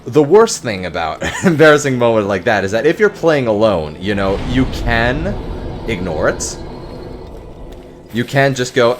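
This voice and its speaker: A young man with a deep voice, streaming a game and talking wryly into a close mic about his own failures. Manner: self-deprecating, wry, muttering curses. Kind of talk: casual gameplay commentary. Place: home office. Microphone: close desk mic.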